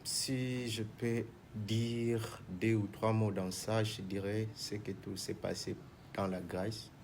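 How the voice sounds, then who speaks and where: A man's voice: fairly low pitch, little pitch movement, takes it slowly, darkish tone, a little hiss or hum. A man, a manager and minister answering in a TV talk show interview, hesitant and mixing in Lingala. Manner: hesitant, many restarts, mixes in Lingala.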